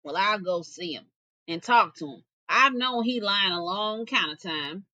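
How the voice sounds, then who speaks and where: Woman as a calm, seasoned storybook bear. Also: mid-range voice, unhurried, voice pushed down.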